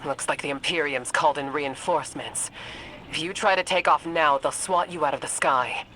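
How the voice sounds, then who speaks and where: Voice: medium pitch, moderate pace, noisy background, lightly acted. An actor playing a commanding, pragmatic intelligence officer in a video game, briefing in a clipped, tactical manner. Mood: businesslike. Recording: loud game music over the voice.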